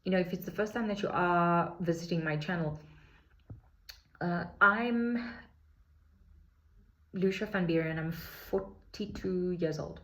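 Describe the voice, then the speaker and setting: A woman in her forties talking reflectively in a casual personal vlog, unhurried and full of fillers and restarts. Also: on the low side. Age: 40s. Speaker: vlogger sharing her healing journey.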